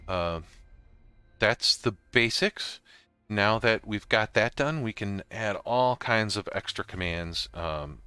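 A middle-aged man explaining software step by step in a casual, informal tutorial style. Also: on the low side, animated, slow, smooth, no echo. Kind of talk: casual screen-recorded walkthrough.

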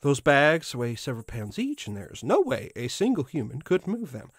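Man acting a pompous living scarecrow, very animated. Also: medium pitch, moderate pace, breathy edge, no room sound, clean studio sound. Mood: proud, scheming. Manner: theatrical, pompous, musing. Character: proud, mischievous living scarecrow guardian of a farm. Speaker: voice actor. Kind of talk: audio drama monologue.

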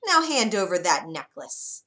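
A woman's voice: lowish voice, big pitch swings, a little slow, sharp tone, exaggerated voice. A woman voicing a grasping little male imp who bargains slyly and smugly. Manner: smug, sly bargaining.

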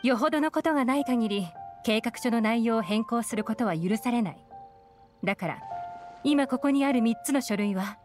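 A woman's voice: a little high, expressive, moderate pace, a little mellow, natural voice. Anime game lead: composed, shrewd young woman, woman performer, assured, matter-of-fact, light music underneath. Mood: businesslike. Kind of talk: anime video game dialogue.

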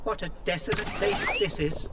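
An actor playing a fussy, anxious British protocol droid with a prim, proper manner in a video game cutscene. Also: male voice, high voice, normal speed, gravelly, very noisy. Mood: indignant, fretful. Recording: loud game sound effects behind.

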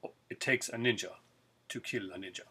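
Husky middle-aged man, rambling home review. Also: on the low side, fairly quick, pushed and bright. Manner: enthusiastic, rambling with many fillers. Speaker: collector reviewing films. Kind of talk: casual video review. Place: home living room with a TV. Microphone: webcam at close range.

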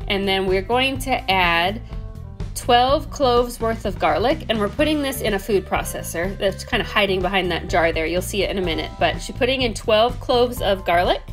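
A woman's voice: mid-range voice, lively intonation, moderate pace, faint music behind. A woman in her thirties, a homesteading host, chatting through recipe steps in a home kitchen. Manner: chatty step-by-step explaining. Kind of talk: casual cooking voice-over.

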